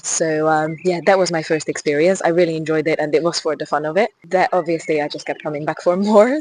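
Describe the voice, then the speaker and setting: Woman, Hungarian accent, young adult, low voice, casual walking vlog, enthusiastic, outdoors. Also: darkish tone, slight background noise, rough recording. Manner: chatty and enthusiastic, with restarts and light laughs. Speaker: fitness ambassador. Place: outdoors on a river path.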